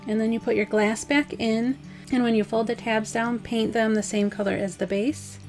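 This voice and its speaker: Slightly hoarse woman explaining a craft. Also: on the high side, normal speed, faint music behind. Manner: relaxed, chatty, step-by-step instructions with fillers. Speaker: craft vlogger. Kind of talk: casual DIY tutorial voice-over.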